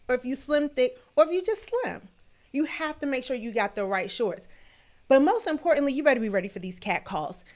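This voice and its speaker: A woman in her thirties hosting a solo livestream, talking in a sassy, emphatic, playfully scolding way with a booming voice. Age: 30s. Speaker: livestream show host.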